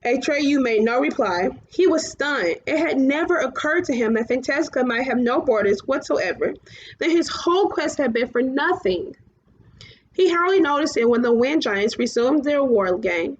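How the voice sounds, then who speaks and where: A woman reading children's fantasy fiction aloud, somewhat halting, often stumbling and going back over phrases. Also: sits high, animated, highs cut off. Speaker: storybook reader.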